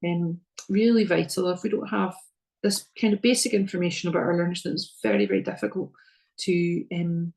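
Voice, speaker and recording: A middle-aged woman with a Northern Irish accent, giving an earnest slide presentation to a parents' group, often pausing with ums and repeating words. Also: fairly low pitch, a little mellow, low-quality recording. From Northern Ireland.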